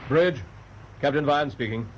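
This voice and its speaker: A man playing a brisk, authoritative British navy captain in a radio drama, giving crisp, measured orders. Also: mid-range voice, close to an everyday voice. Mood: brisk, resolute. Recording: dull radio drama, loud engine noise.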